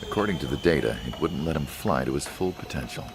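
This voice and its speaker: An actor playing a weary military research scientist, narrating a game cutscene in a measured, grave, reflective manner. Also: male voice, very deep voice, expressive, noisy background. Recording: voice under loud music.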